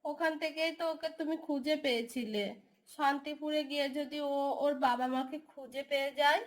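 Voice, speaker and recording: Woman acting a high-pitched, doting cartoon mother. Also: fairly even intonation, fairly quick, lightly acted. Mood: anxious. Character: doting, anxious adoptive mother in a village.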